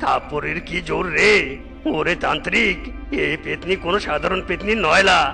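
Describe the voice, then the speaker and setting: Gravelly, blustering cartoon exorcist. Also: male voice, fairly high pitch, normal speed, bright, forceful tone, faint music behind. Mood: pained alarm. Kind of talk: cartoon voice acting.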